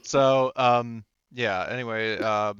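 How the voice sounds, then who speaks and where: A man's voice: medium pitch, fairly even intonation, slow, a bit bright, smooth. A man chatting casually as game master after a tabletop game session, informal and freely swearing, on a slightly dull mic.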